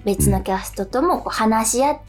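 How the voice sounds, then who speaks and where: A woman's voice: on the high side, brisk, soft background music. A woman co-hosting a radio show, chatting casually in polite speech. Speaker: radio show co-host, stage actress.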